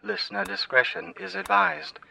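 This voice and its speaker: A male announcer reads a scripted disclaimer. His voice is high, extremely bright and heavily gravelled with creak, over faint noise. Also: steady tone, moderate pace, low-quality recording.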